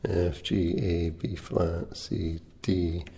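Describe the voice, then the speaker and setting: A soft-spoken man teaching a guitar lesson, unhurried, pausing often with ums and uhs. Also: low voice, level tone, vocal fry. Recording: reverberant room with guitar playing.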